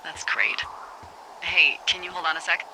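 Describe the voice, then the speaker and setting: A woman's voice: fairly low pitch, normal speed, gravelly, noisy background. An actress playing a breezy, wry American woman in a video game, chatting conversationally over a handheld radio. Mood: friendly, curious. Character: breezy, wry, friendly woman fire lookout supervisor.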